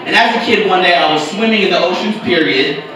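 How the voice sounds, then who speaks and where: A man's voice: high voice, fast. A man from Hawaii telling crude stand-up stories on a comedy club stage. He talks loosely and keeps throwing asides to the crowd, into a handheld mic. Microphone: handheld mic, recorded by a camera far back in the room. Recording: echoey club audio from far off, loud crowd.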